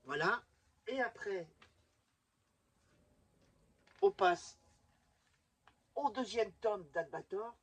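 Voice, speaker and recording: YouTube collector host. Very rough, husky voice at a medium pitch, rambling fan delivery, casual show-and-tell. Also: very animated, slow.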